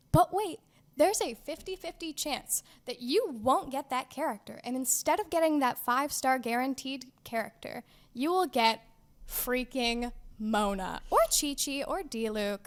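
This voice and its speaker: Woman, high-ish voice, animated, playful, laughing, podcast co-host. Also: measured pace. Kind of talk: casual gaming chat.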